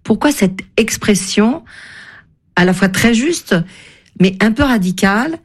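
A woman radio host who asks her author guest measured, articulate questions. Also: mid-range voice, expressive.

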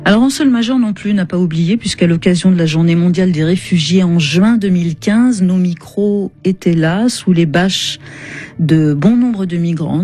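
A woman presents a radio interview in a low, warm, mellow register. She is articulate and measured, laying out her guest's account in orderly phrases. Faint music sits behind her. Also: husky. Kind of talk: broadcast radio interview, reading excerpts aloud.

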